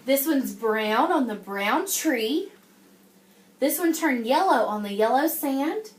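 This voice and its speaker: High-voiced woman teaching, echoey room. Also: expressive, takes it slowly. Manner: encouraging, addresses the class as guys, frequent check-in questions. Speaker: teacher reading a picture book to young children. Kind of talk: interactive classroom read-aloud with questions and praise.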